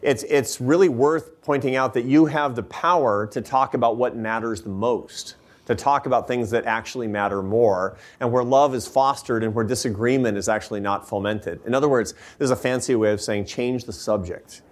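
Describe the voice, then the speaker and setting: A man at an ordinary middle pitch fields questions from the audience after his talk. He speaks earnestly and with emphasis. He often catches himself and restarts a phrase. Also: normal speed, few pauses. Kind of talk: lecture Q&A answers.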